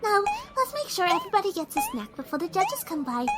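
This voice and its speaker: A woman voicing a shy, gentle young pony who loves animals, hesitant and apologetic, in an audio drama. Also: very high voice, wildly swooping pitch, fast talker, loud music behind the voice, full character voice. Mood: gentle, doting. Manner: soft-hearted, hesitant, apologetic.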